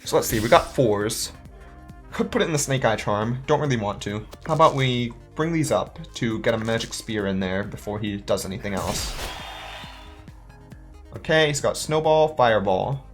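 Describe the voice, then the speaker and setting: A man's voice: mid-range voice, animated, normal speed. A chatty male let's-play host talking casually as he plays, thinking aloud and muttering counts under his breath. His voice sits in a middling register. Light music runs beneath him. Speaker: gaming channel host.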